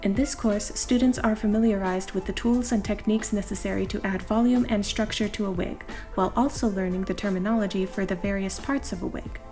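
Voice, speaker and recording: A woman reading scripted showcase narration in a measured, formal way. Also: medium pitch, fairly even intonation, warm tone. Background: background music.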